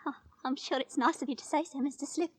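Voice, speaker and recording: A woman's voice: high-pitched, fast, natural voice. An actress playing a naive, cheerful young Victorian woman, polite and girlish, in a 1940s radio drama. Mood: shy, agreeable. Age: young adult. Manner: bright-eyed, polite, girlish. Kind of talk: 1940s radio horror acting.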